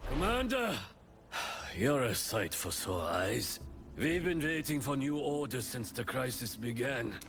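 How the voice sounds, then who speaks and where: An actor playing a weary garrison soldier in a video game, talking to a superior in a familiar, plain-spoken way. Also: male voice, mid-range voice, very animated, a little slow. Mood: relieved, wry. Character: weary male garrison soldier reporting to his commander. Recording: noisy game ambience behind voice.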